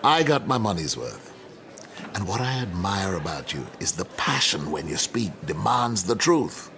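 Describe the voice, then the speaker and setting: An actor playing a reflective older academic mentor in a radio drama, eloquent, measured and wry. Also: male voice, low voice, sing-song, noisy background. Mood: earnest. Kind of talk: naturalistic radio drama dialogue.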